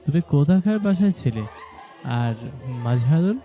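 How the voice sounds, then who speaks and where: A man's voice: a little high, lively intonation, measured pace, warm tone, faint music behind. A man playing a stern, suspicious man who fires insistent, demanding questions in an audio story drama, with a harsh manner. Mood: stern, accusing. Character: stern, suspicious man interrogating a woman by phone. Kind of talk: Bengali audio story acting.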